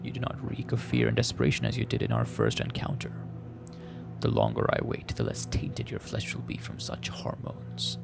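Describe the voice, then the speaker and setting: An imperious alien she-beast, acted out by a man, speaking in a gravelly growl that slips into breathiness. The delivery is slow, formal and threatening, as in a visual novel game, with music behind it. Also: extremely low pitch, very animated, voice pushed down, full character voice. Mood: somber, cold. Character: menacing, imperious female alien creature lurking in a cave.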